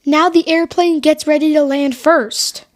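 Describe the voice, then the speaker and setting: A woman with a high voice co-hosts an educational podcast. Her delivery is scripted and aimed at young listeners. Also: steady tone, measured pace. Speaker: podcast co-host.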